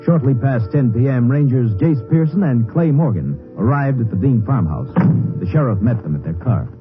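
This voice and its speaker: A man's voice: medium pitch, lively intonation, moderate pace, soft background music, lightly acted. Serious mid-range male actor as case narrator, old-time radio delivery, muffled recording with musical bed. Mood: sober. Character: sober narrator of a police case reenactment. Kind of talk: 1950s radio scripted narration.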